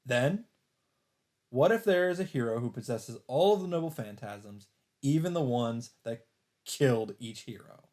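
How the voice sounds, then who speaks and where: A man doing a let's play, reading a visual novel aloud as dramatic narration in halting phrases, with some off-the-cuff reactions. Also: mid-range voice, lively intonation, a little mellow. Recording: music behind the voice. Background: game soundtrack music.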